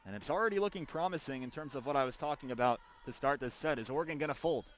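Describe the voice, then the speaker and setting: A man's voice: mid-range voice, quick pace. A young man doing live radio play-by-play of volleyball, with punchy, clipped calls that swell on big plays. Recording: background noise of a sports arena. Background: arena ambience.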